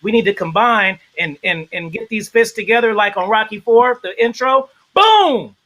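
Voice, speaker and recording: A man hosting a casual livestream interview, animated and shouting out viewers in the chat. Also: sits high, normal speed, rough recording.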